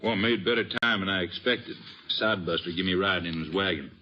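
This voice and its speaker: An actor playing a folksy, sheepish middle-aged drifter in an old-time radio western, husky and hesitant in his speech. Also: low voice, fast talker. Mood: easygoing. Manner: folksy, hesitant, rustic drawling grammar. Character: drifting cowboy father, folksy, sheepish, apologetic. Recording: dull, lo-fi old radio recording.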